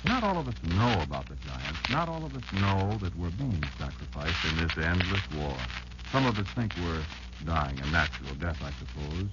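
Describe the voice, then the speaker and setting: An actor playing a tiny, embittered insect elder who patiently instructs a child in a dramatic, halting radio-play monologue. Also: male voice, low voice, very animated. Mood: patient, explaining. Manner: dramatic, halting, deliberate storytelling with emphatic swings. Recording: muffled, very noisy old radio recording.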